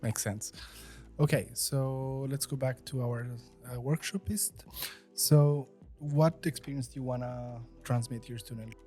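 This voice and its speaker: A man hosting a casual podcast puts questions to his guests in a relaxed, informal way, with the odd "uh". His voice sits at an average pitch, and soft music plays faintly behind him. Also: a little slow. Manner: informal, with fillers. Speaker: podcast host. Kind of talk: casual interview.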